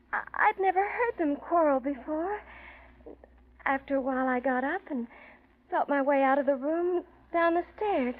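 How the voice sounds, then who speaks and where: A high-pitched, selfish young femme fatale in an old radio drama. Her delivery is theatrical and intense, sweeping widely in pitch. The recording is dull and aged. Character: selfish, scheming young woman, cold murderess feigning fear. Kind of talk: 1940s radio drama acting.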